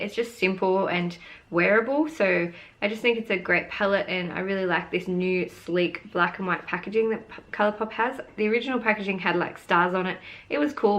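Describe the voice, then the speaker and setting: A young woman with a hoarse, fading voice, chatting eagerly through her beauty favourites as a vlogger. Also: medium pitch, fairly bright tone. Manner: chatty and gushing, showing products to camera. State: losing her voice. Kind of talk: casual product review vlog.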